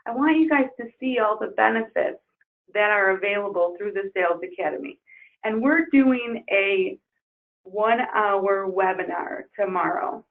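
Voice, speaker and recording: A woman trainer losing her voice, leading an upbeat software webinar walkthrough. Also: medium pitch. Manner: upbeat, enthusiastic promoting. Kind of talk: webinar screen-share walkthrough. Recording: tinny phone-like webinar audio.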